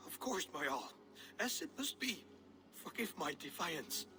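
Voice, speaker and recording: A man's voice: high voice, big pitch swings, measured pace, background noise, full character voice. An actor playing an aggrieved but deferential Norse man pleading before his jarl in a video game. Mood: submissive. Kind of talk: dramatic video game acting.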